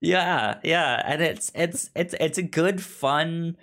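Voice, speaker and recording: A man chatting casually as a podcast co-host, retelling a story in a halting way and often repeating the starts of words. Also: a little high, fairly bright tone. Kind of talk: casual chat, retelling a TV show episode.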